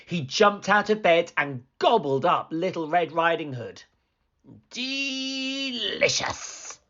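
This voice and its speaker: A woman reading a children's story aloud as scripted narration, expressive and deliberate. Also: low voice. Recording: slightly dull, lo-fi sound.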